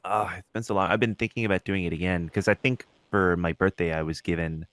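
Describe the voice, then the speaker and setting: A man with a deep voice chats casually as a podcast co-host. He speaks conversationally, and the sound is dry, with hardly any room around it. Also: fairly even intonation, quick pace, a bit bright, no room sound. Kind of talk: casual chat.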